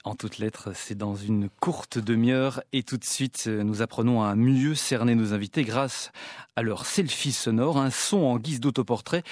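A man's voice: mid-range voice, measured pace, a little mellow, no echo, studio quality. A man hosting a radio interview, reading polished, scripted introductions. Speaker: radio host.

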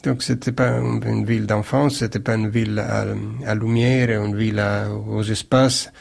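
A man from Naples whose first language is Neapolitan, speaking with an Italian accent as a writer in an interview, halting and searching for words with many repeated starts. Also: fairly low pitch, flat delivery, gravelly, a little mellow. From Naples, Italy. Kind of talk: reflective interview answer.

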